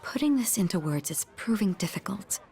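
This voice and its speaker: A woman playing a refined, patient young woman in a video game, composed, articulate and gently formal. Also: medium pitch, expressive, brisk, natural voice. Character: poised, refined young woman, a patient elder-sister guide.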